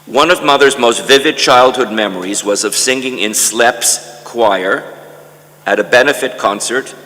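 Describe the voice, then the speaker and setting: An older man from Canada reads an autobiographical lecture in a deliberate storytelling manner, with emphatic lists, in a large conference hall. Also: mid-range voice, sharp tone. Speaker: guest lecturer reading a memoir. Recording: echoey hall with long reverb.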